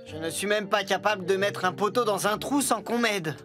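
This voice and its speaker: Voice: medium pitch, moderate pace, lightly acted. An actor plays a glum young man learning the building trade in a children's cartoon. He sounds unsure of himself and hesitant, with music underneath. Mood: downcast. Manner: downcast, hesitant. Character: Léo, glum self-doubting young male apprentice builder. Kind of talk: animated children's cartoon dub.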